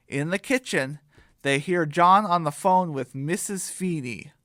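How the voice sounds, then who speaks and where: A man tells a scripted comic story as narrator. His voice is on the high side and his delivery is slow, with a dry sound free of room echo. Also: bright, forceful tone.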